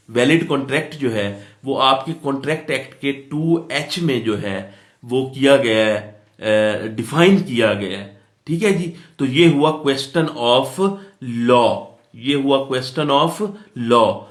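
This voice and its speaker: A man's voice: mid-range voice, a bit bright. A man with a Pakistani accent giving an explanatory law lecture in a didactic way, repeating phrases and checking that listeners follow. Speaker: law teacher. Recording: roomy, echoing sound.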